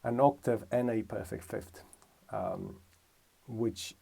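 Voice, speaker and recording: Gravelly man musing through a lesson. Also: fairly low pitch, steady tone, takes it slowly, warm tone. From Romania. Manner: thinking aloud, self-correcting. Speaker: music teacher. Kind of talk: casual music lesson.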